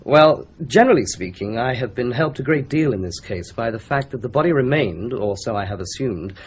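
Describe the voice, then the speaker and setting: An actor playing a careful forensic doctor who explains things in a guarded, lecturing way, in a radio drama. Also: male voice, mid-range voice, lively intonation, brisk, breathy edge. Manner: lecturing, guarded, dryly cautious. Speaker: police doctor. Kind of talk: 1970s radio drama acting. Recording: slightly dull broadcast recording.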